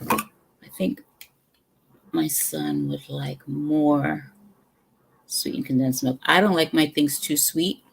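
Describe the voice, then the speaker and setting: Low, gravelly New York woman chatting slowly. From the Bronx, New York. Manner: chatty, rambling, talks to viewers between sips. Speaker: livestream host. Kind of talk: casual livestream chat. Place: home room with brick wall.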